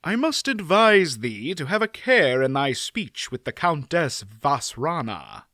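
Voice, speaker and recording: A man voicing a chivalrous, formal-spoken knight, a little raised and bright. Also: fairly high pitch, sing-song, measured pace, dry recording, clean studio sound, exaggerated voice. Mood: earnest. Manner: old-fashioned formal speech. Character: boastful chivalrous male knight, formal, archaic.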